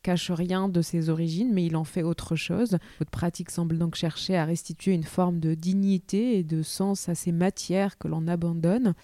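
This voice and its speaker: A woman's voice: on the low side, warm tone, no room sound, clean studio sound. A woman interviewing an artist, asking long, carefully phrased questions.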